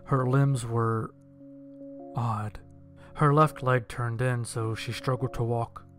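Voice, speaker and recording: Male horror audio narrator, mid-range voice, dramatic storytelling over a clear music bed. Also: mid-range voice, fairly even intonation, slow pace.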